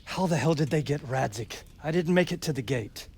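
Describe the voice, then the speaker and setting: An actor playing a proud, desperate medieval lord in a video game, gravelly and forceful in delivery. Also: male voice, a little high, expressive, brisk, slight background noise. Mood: pained, weary. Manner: forceful, impassioned. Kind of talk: dramatic video game acting.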